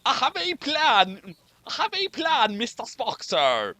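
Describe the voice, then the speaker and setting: A man's voice: extremely high pitch, wildly swooping pitch, slow, bright, forceful tone, voice pushed up, heavily acted. A man voicing an eager, scheming starship engineer with a hammy Scottish accent and rolled Rs, in a comic fan fiction reading.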